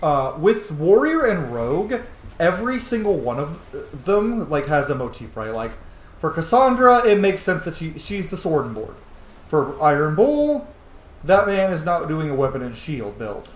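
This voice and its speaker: A man's voice: a little high, very animated, moderate pace. A man doing casual, chatty, informal gaming commentary as he plays. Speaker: gaming video host. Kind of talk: casual roleplay playthrough commentary. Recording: roomy sound with faint noise.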